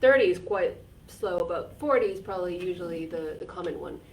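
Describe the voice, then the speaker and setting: A woman's voice: lowish voice, expressive, fairly quick. A woman from Finland, co-hosting a casual live chat in an earnest, talkative way, with plenty of "like" and restarts. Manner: earnest, chatty, with frequent "like" and restarts. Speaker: co-host. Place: community repair centre. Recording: distant mic with faint background noise.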